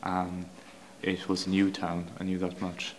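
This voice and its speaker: Young man, low monotone, dry delivery, music under. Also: a little slow, a little hiss or hum, poor audio.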